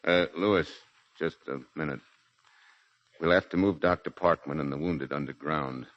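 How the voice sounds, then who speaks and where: An actor playing a grave, steady Marine major in command of a garrison, in 1940s radio drama style. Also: male voice, a bass voice, normal speed. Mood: businesslike. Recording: muffled 1940s radio recording.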